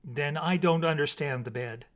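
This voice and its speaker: A man voicing a young mermaid from a storybook, cheerful and impatient, puzzled by the land and speaking in halting, emphatic simple phrases. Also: on the high side, natural voice.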